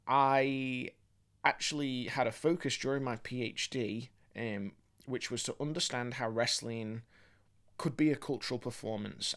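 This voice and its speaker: A man, born in England, presenting video essay commentary in an articulate, measured, academic manner. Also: medium pitch, fairly bright tone. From born in England, grew up mostly in Australia.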